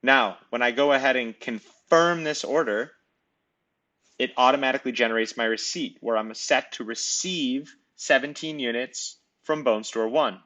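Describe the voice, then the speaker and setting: Man walking through a software tutorial step by step. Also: medium pitch, moderate pace, dull audio. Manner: explanatory, step-by-step, conversational. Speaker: software demonstrator. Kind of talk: screen-recorded tutorial walkthrough.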